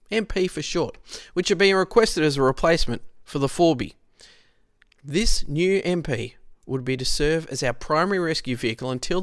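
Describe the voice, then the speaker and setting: An Australian man reading a scripted historical narration in a measured, explanatory way. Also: fairly high pitch, no room sound, clean studio sound.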